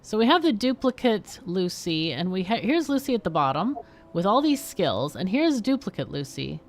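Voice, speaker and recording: A woman's voice: medium pitch, very animated, normal speed. Woman hosting a casual game livestream. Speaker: livestream host playing a game. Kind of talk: casual live game commentary and chat.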